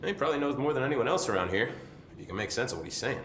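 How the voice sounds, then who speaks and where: An actor playing a chatty, nervy, loyal maintenance security chief in a video game, rambling informally with wry swearing. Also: male voice, medium pitch, expressive, rapid-fire, a bit bright, roomy sound, a little hiss or hum, natural voice. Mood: warm, proud.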